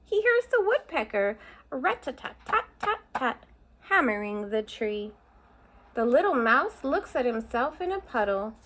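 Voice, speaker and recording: Woman teacher, storybook read-aloud for kids, slightly high voice, chatty asides. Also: very animated, a little slow, fairly bright tone. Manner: explains pictures with asides to young listeners.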